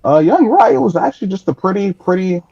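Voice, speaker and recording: A man's voice: sits high, sing-song, a little fast, gravelly, warm tone, muffled recording. A man in his late twenties, a podcast guest chatting casually, joking and self-deprecating with frequent laughs. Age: 20s. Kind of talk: casual interview chat.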